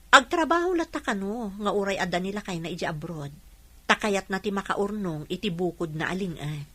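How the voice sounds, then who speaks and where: An actress playing a kind, patient young wife in an Ilocano radio drama, reasoning gently. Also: female voice, mid-range voice, animated, a little fast, slightly rough.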